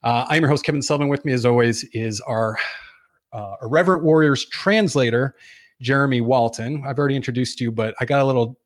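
A man's voice: medium pitch, fairly quick, dry recording. Dry-sounding joking male livestream host. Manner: joking, rambling, self-deprecating. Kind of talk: casual livestream chat. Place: small studio.

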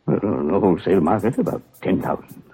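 An actor in a 1940s radio drama playing a fussy, pompous, theatrically proud gemologist with florid, repetitive speech and a husky voice. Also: male voice, low-pitched, fast talker, warm tone, natural voice. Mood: proud, reverent. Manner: florid, repeats phrases, pompous. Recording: tinny, narrow-band 1940s radio recording.

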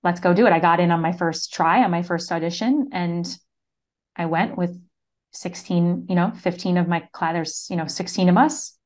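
A woman's voice: lowish voice, moderate pace, a little mellow. A woman from the US East Coast, a guest on a podcast, telling her story casually with lots of "like". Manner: casual storytelling, many "like" fillers. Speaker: podcast guest, business mentor. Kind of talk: conversational interview.

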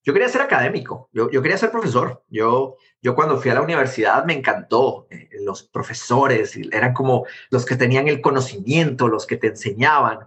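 Bright-voiced Guatemalan man telling stories. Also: mid-range voice. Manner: animated, quick, jumps between anecdotes. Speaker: interview guest, tech founder. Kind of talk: conversational storytelling.

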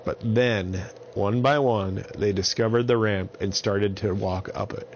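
A man's voice: lowish voice, fairly even intonation, a little slow, highs cut off, a little hiss or hum. A man telling an improvised bedtime story to small children in simple, repetitive phrases.

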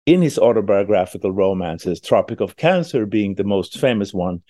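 Swedish-accented man, low and thoughtful. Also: lively intonation, moderate pace, warm tone, no echo, clean studio sound. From Sweden. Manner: thoughtful, with small restarts while explaining. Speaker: library director interviewed. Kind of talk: conversational interview storytelling.

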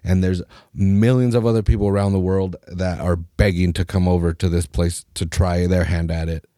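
Ranting man, dark voice. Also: deep voice, normal speed, dry recording, clean studio sound. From Mexico. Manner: profane, animated, mocking voices of others. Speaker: podcast host. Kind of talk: casual opinionated rant.

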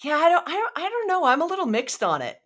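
A woman's voice: very high-pitched, wildly swooping pitch, fast talker, a bit bright. A woman hosting her podcast, chatty and enthusiastic, with many fillers as she asks questions. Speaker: podcast host. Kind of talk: podcast interview.

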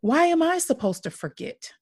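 A woman's voice: very high voice, big pitch swings, normal speed, a little mellow, no room sound, clean studio sound. A woman in her forties hosting a podcast, speaking earnestly and with emphatic, impassioned repetition. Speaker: podcast host.

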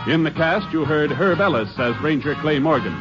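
Male announcer, formal and smooth, old radio, music under, dull band. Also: fairly high pitch, animated, moderate pace. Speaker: program announcer.

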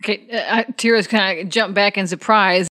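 A woman from the Chicago area with a Midwest accent touched by a Texas drawl, talking fast, slurring words and laughing often in casual tabletop podcast banter. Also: medium pitch, a bit bright, a little hiss or hum. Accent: Chicago-area Midwest with a hint of Texas drawl. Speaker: podcast co-host and tabletop player. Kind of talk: casual blooper-reel banter.

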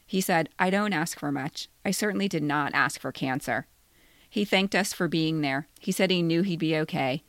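A middle-aged American woman reading a scripted personal story in a measured way, in short plain sentences. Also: low-pitched, dry recording. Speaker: podcast co-host reading their own essay.

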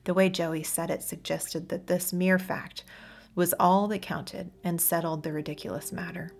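Low-pitched, creaky-voiced woman narrating fiction. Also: fairly even intonation, normal speed. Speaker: audiobook reader. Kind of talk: scripted story narration.

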